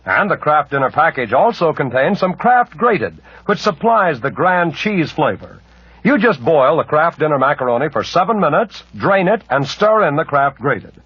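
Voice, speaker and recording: A man's voice: a little high, very animated, moderate pace, slightly rough, dry recording. An American man reading a scripted 1940s radio commercial as announcer. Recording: muffled 1940s radio recording.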